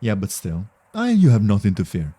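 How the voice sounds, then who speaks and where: A man's voice: fairly low pitch, wildly swooping pitch, moderate pace, mellow, slightly rough, no room sound. A man chatting casually on a game livestream, thinking aloud in a rambling, repetitive way. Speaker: game streamer. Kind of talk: casual livestream chat while gaming.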